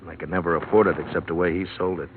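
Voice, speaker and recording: Man playing a weary sheriff, low voice, tinny old radio sound, 1950s radio drama. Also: steady tone, very fast, slight background noise, natural voice. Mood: troubled. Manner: shouting over a mob, then quiet and troubled. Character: weary small-town male sheriff, steady.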